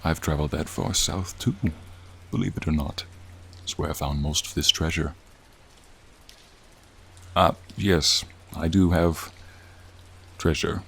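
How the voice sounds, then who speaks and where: A man's voice: measured pace, long pauses, exaggerated voice. A man voicing a friendly, charming frost dragon in a soothing, courtly, admiring manner, in an ASMR roleplay with rain behind him. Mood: curious, admiring. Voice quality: low, gravelly, soothing. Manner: courtly, leisurely, warmly attentive. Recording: steady rain sounds behind the voice. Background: rain falling.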